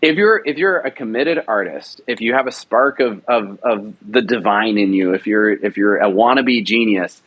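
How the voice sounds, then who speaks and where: A man's voice: fairly low pitch, moderate pace, few pauses, lo-fi sound. A man producer talking in an interview, enthusiastic and rambling, with many restarts. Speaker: radio drama producer. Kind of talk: casual interview talk.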